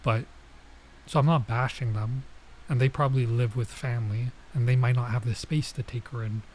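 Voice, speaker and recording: Young male streamer, relaxed and talkative, medium pitch; commentary over a visual novel. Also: moderate pace, slight background noise, low-quality recording. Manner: chatty, laid-back, lots of "like" and "bro". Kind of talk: casual let's-play commentary.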